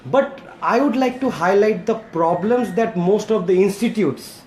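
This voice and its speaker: A man's voice: sits high, measured pace, darkish tone. A man with an Indian accent, interviewing a fellow trainer politely and calling him sir. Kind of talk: casual interview. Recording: echoey room with faint ambient noise.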